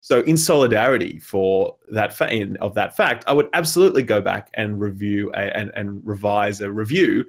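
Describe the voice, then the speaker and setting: A man's voice: fairly low pitch, moderate pace, creaky, few pauses. Blunt, swearing man in casual chat. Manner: blunt and emphatic, swears freely. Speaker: podcast guest. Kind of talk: casual discussion.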